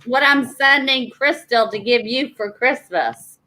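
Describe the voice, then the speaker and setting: Chatty woman in her forties, bright. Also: a little high, measured pace, a little hiss or hum, low-quality recording. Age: 40s. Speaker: person showing mail on a group call. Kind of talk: casual chat.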